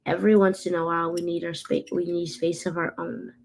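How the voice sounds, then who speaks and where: A woman co-reading a picture book aloud carefully, halting now and then and repeating phrases. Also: lowish voice, flat delivery, gravelly.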